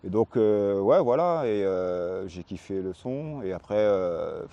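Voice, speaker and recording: A man's voice: fairly low pitch, lively intonation, slow pace, lo-fi sound. A man in his forties from Paris, born in Réunion, chatting casually in an interview with a hesitant, repetitive, slangy delivery. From Paris, France, born in Réunion. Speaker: interviewee, hip-hop graffiti artist.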